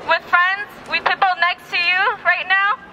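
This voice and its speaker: A woman's voice: very high-pitched, little pitch movement, unhurried. A woman activist giving an emphatic political rally speech, repeating phrases for effect. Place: outdoors in a city. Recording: outdoor crowd and traffic noise.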